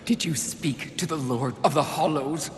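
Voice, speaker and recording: A performer playing a haughty, persuasive sin demon in a video game, speaking in a measured, grandiose way. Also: female voice, deep voice, natural voice. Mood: scornful. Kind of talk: video game villain acting. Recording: echoey hall, faint noise behind.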